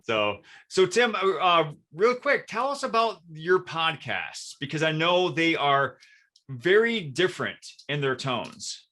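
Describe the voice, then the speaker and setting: A man's voice: on the high side, sing-song, slow pace, pushed and bright. A man with an American accent hosting a podcast interview in an enthusiastic, upbeat conversational style. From Michigan, United States. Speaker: podcast host.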